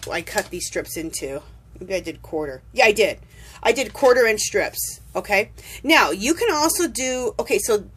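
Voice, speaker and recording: A woman's voice: medium pitch, a bit bright. A woman chatting her way through a craft tutorial, rambling and doubling back as she works. She has a cold and sniffles now and then. Her voice sits mid-range, and a faint hiss of room noise hangs behind it. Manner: chatty, rambling, self-correcting. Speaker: crafting vlogger. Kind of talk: casual tutorial chat. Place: home craft table, indoors.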